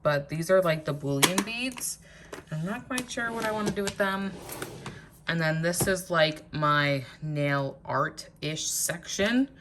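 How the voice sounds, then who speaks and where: A woman's voice: lowish voice, slow pace, very noisy. A woman giving a rambling, chatty vlog tour of her nail supplies in a home guest room, with lots of filler words, while losing her voice. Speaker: nail art vlogger. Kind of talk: casual show-and-tell vlog.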